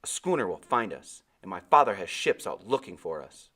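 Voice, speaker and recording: A man's voice: sits low, very animated, normal speed, fairly bright tone, full character voice. A man voicing an impatient, defiant young boy with an insistent, complaining delivery, as a storybook character. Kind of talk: storybook character voice in a read-aloud.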